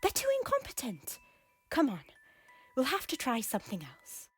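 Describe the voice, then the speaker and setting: A woman voicing a guilt-ridden former queen who pleads in breathy, often whispered lines. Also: high-pitched, huge pitch swings, moderate pace, faint music behind, heavily acted. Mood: pleading. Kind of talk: fan audio drama acting.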